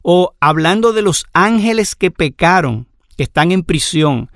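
Male Bible teacher, slightly raised pitch with some fry, patient explanatory lecture delivery. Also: expressive, fairly bright tone, no room sound, studio quality. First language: Spanish. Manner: measured, explanatory, lists examples. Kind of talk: lecture on scripture.

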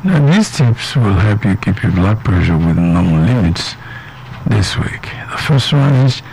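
A Nigerian man runs a radio health show from the studio, his voice carrying a gravelly rasp. He talks in a loose, chatty way, full of fillers and "isn't it?" checks, with a faint hiss of noise behind. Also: on the low side, sing-song, moderate pace, a little mellow, low-quality recording.

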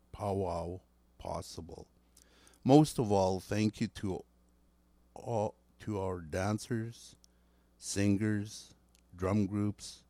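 A man's voice: low voice, warm tone, no echo. A man hosting a casual morning radio show, rambling loosely through the weather and community announcements and slipping between two languages. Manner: reads announcements aloud haltingly.

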